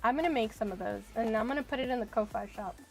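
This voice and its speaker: Woman game streamer, slightly high-pitched, rambling casual chat over faint music. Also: fast talker, creaky, darkish tone. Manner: chatty, thinks aloud, rambling with many "like"s. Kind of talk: casual live-stream chat while playing.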